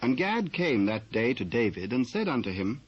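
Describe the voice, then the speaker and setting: British man, husky medium-pitched voice, measured formal scripture narration, muffled cassette sound. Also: sing-song. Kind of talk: scripted dramatised Bible narration. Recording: muffled old cassette transfer.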